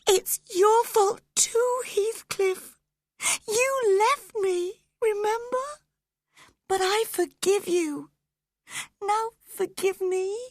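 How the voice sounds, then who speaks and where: A woman's voice: a little high, slow pace, pauses often, a little mellow, breathy edge, no room sound, clean studio sound, exaggerated voice. A woman playing a sobbing, dying young woman. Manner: sobbing, pleading. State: crying. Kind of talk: audiobook drama acting.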